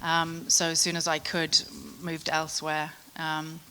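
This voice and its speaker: A woman's voice: low-pitched, monotone, a little slow, pushed and bright, slight background noise. A British woman, a panel guest, introducing herself matter-of-factly with many hesitant ums. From England. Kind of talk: panel discussion.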